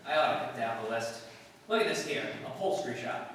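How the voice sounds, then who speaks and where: An actor playing a dry, laconic police detective sergeant in a radio drama, with clipped, deadpan delivery. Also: male voice, mid-range voice, brisk, close to an everyday voice. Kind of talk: 1950s radio police drama acting, scripted narration. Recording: distant, echoey hall with long reverb, hiss.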